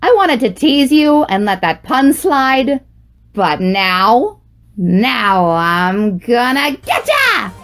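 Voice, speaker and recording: A woman plays a proud skeleton brother in an amateur audio drama. The voice is high and very animated, with drawn-out, emphatic delivery. It sounds theatrical and playfully menacing. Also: heavily acted.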